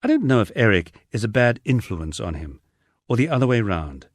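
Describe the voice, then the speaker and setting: A man's voice: on the low side, sing-song, brisk, no echo. A man reading a first-person diary-style memoir aloud with dry, understated storytelling. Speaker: audiobook narrator.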